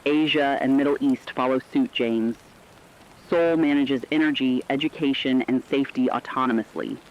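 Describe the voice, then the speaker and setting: A man reading scripted radio news as an anchor in a formal, measured way. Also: medium pitch. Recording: dull lo-fi radio sound.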